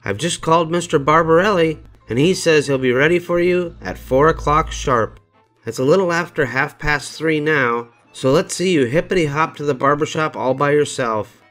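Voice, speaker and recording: An older man voicing a brisk, wry, coaxing mother in a storybook. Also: on the high side, a bit bright, faint music behind, pitched above the performer's own voice, natural voice.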